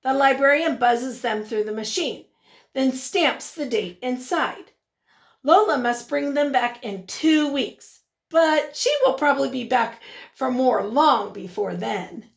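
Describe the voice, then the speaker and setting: A woman teacher reading a picture book aloud to young children, expressively, pausing to put questions to them. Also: high-pitched, gravelly.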